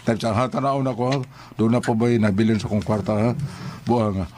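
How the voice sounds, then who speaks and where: A man with a Cebuano accent playing a gruff, menacing police officer who blusters and interrogates in a comic audio drama. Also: fairly low pitch, steady tone, fairly quick, darkish tone, a little hiss or hum, lightly acted. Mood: evasive, threatening. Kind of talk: comic Filipino radio-style drama acting.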